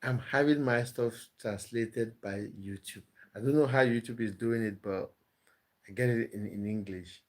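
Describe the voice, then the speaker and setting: Man, live-stream host, chatty, reading out chat, laughs easily, mid-pitched voice. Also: moderate pace. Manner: chatty, laughing, answers viewer comments one by one.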